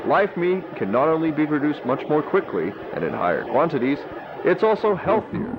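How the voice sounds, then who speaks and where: Male actor as corporate pitchman, polished, persuasive, lively intonation, scripted infomercial, dull audio. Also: normal speed, background noise. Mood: promotional. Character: smooth-talking corporate pitchman for a meat substitute. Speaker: advertising narrator. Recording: dull lo-fi video audio over music. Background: music.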